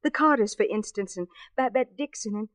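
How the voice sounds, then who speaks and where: A woman's voice: on the high side, brisk, fairly bright tone, no echo, lightly acted. An actress playing a frightened, tender young woman in a 1940s radio melodrama, earnest and breathless.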